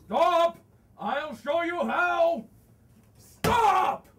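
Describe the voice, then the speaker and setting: Stage comedy, male actor as hot-tempered landowner creditor: very slow, halting, exclamatory delivery with big pitch swings, scornful of women. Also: animated, fairly bright tone, exaggerated voice. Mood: blustering. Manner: blustering, exclamatory, grumbling to himself with halting outbursts. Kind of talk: theatrical stage acting of a comic one-act play. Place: theater stage, recorded from a distance. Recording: distant stage recording with faint noise.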